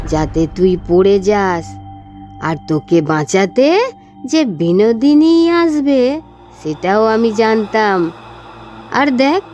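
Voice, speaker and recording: Cruel older villainess, horror cartoon, high-pitched, very slow, taunting, gloating, dark tantric menace, music behind. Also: female voice. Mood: taunting, menacing. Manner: slow, drawn-out, taunting confession with wicked relish. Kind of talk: dramatic horror cartoon voice acting.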